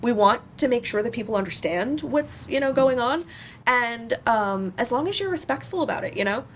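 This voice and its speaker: A woman's voice: on the high side, animated, moderate pace. Hesitant, informal woman on a panel. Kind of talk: casual panel discussion. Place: live panel event in a room. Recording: faint room noise.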